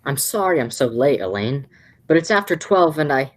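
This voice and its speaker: Teenage girl as low, animated, sardonic young man. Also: normal speed, exaggerated voice. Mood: flustered. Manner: theatrical, sardonic. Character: exasperated, sardonic young man, comic romantic lead. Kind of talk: amateur radio play acting.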